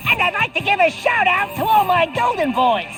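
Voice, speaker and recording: A man's voice: very high-pitched, very animated, a little fast, over music. A man voicing a small, cocky, wisecracking animal sidekick in a video game, brash and boastful. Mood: sarcastic, alarmed.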